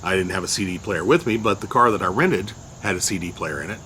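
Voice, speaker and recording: An older man with a loud voice casually recounting a memory, unhurried and rambling with many fillers. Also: low-pitched, slight background noise. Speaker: storyteller recounting a personal memory. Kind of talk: casual spoken recollection.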